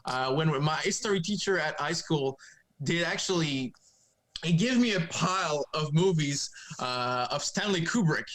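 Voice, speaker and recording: A young man from Quebec with a French-Canadian accent, speaking English as a second language, chatting haltingly in a remote podcast interview. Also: fairly high pitch, animated, fairly bright tone, low-quality recording. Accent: Québécois French. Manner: halting, many fillers and restarts. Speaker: guest comic writer. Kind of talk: casual interview chat.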